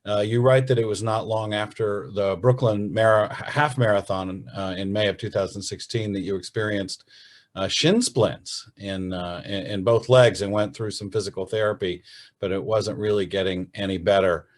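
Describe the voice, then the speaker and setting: A man hosting a virtual interview, speaking casually and haltingly with many "uh" and "um" fillers and restarts over a video call. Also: lowish voice, steady tone. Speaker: radio host and interviewer.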